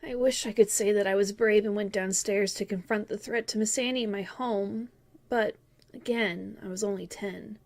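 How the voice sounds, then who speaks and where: A woman's voice: mid-range voice, fairly even intonation, normal speed, a little mellow, lightly acted. A woman playing a grown-up wistfully recalling childhood, narrating a scripted first-person story in an earnest, reflective way.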